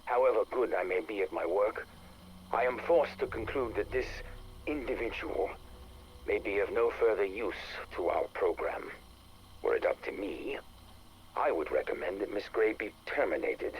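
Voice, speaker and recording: An actor playing a cold, ruthless interrogator who dictates a report for a game audio log in measured, formal phrases with deliberate pauses. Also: male voice, low voice, expressive, slight background noise, low-quality recording. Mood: cold, clinical. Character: cold, self-assured interrogator, methodical and ruthless.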